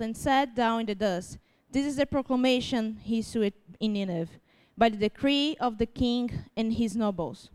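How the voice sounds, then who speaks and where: A woman's voice: fairly high pitch, darkish tone, clear, resonant tone. A young woman from Brazil with a Brazilian Portuguese accent, reading scripture and preaching informally in an earnest, self-deprecating way, with many fillers. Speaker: church speaker.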